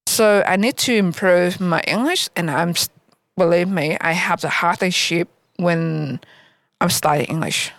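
A woman from Vietnam with a Vietnamese accent, speaking haltingly as a guest in an interview in a library. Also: on the low side, dry recording. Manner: halting, searching for words. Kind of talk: interview answers. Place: local library.

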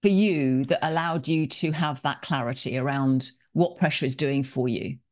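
A woman's voice: extremely low pitch, moderate pace. A woman hosting an interview podcast, reading an introduction and asking reflective questions with enthusiasm. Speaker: podcast host.

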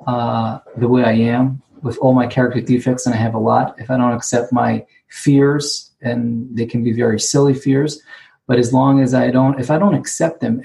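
Man, Hebrew accent, halting earnest share, mellow dark voice, sitting alone at a remote talk. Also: mid-range voice, gravelly, a little hiss or hum, rough recording. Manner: halting, repeats words, earnest. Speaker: recovery program speaker. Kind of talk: personal share at an online conference.

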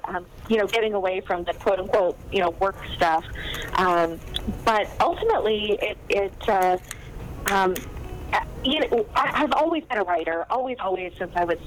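A woman author talks through her writing life in a loose, chatty way. She meanders and circles back, leaning on fillers as she goes. Her voice sits at an ordinary middle pitch with a faint hiss underneath. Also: poor audio. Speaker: novelist guest. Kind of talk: casual interview chat.

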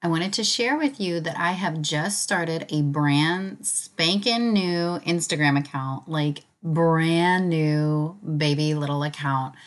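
A woman hosting a casual spiritual podcast, chatty and enthusiastic, with a slightly raspy, hoarse voice as if recovering from illness. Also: sits low. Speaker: podcast host.

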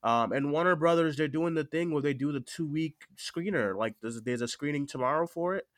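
A man's voice: on the high side, brisk, a bit bright, no echo. Stuffy-nosed man hosting a podcast. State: stuffy with a cold. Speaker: podcast host. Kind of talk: casual podcast chat.

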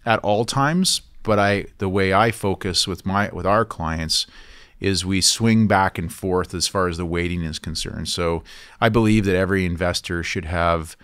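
An eager male interviewer with a very low voice talks in a chatty, agreeable way. The recording is dry and close, with no room sound. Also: normal speed, fairly bright tone, clear, resonant tone, no echo. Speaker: interviewer, investment podcast host. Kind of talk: interview conversation.

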